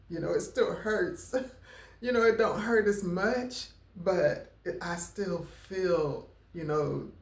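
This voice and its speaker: A woman vlogger who talks slowly and haltingly, with a stutter and many fillers, and gets emotional at times. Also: deep voice, creaky, a little mellow, roomy sound. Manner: emotional, near tears at times. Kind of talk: personal talking-head vlog.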